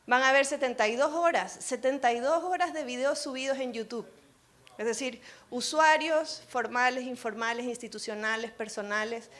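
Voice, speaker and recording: A high-pitched woman with an Ecuadorian accent softened by time in Chile. She is middle-aged and speaks at a conference, drawing the room in with questions in a relaxed, conversational way. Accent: Ecuadorian with a Chilean tinge. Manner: engages the audience with questions, conversational. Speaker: session host and speaker. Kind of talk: conference masterclass talk.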